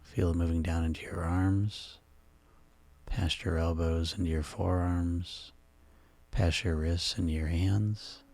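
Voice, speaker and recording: Male hypnosis guide: very low, dark and relaxed tone, soothing and hushed, gently instructing a listener toward sleep. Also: slow pace.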